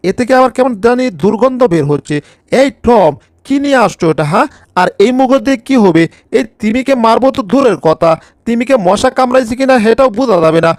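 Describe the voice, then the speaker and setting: A high-voiced cartoon bulldog, played by a man, anxious but taking charge. He grumbles and scolds in exclamations. The sound is very dry. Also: darkish tone, no room sound. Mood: grumbling. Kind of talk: cartoon fan dub.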